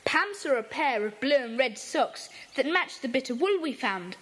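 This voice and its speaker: A woman's voice: high voice, lively intonation, normal speed, a bit bright, husky, heavily acted. An actress playing a decisive young boy who leads a children's club. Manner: decisive, bossy. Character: boy Peter, the group's leader.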